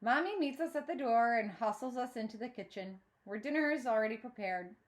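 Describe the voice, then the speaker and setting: Female read-aloud narrator, teacherly and expressive, higher-pitched, very varied intonation. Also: fairly quick. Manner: expressive, acts out dialogue. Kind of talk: storybook read-aloud, first-person narration.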